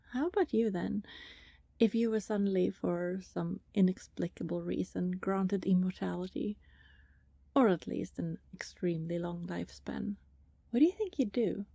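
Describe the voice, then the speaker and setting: A woman playing a friendly, teasing vampire neighbour in an intimate ASMR roleplay, chatty and casual, with a soft breathy voice that often slips toward a whisper. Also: mid-range voice, no room sound. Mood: playful, musing. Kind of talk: ASMR roleplay, intimate one-sided conversation with listener.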